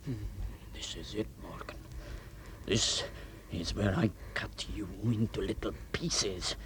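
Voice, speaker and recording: An actor playing a bitter, threatening miner who taunts and snarls. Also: male voice, takes it slowly, background noise. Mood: taunting. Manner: menacing, taunting, voice leaps high when angry.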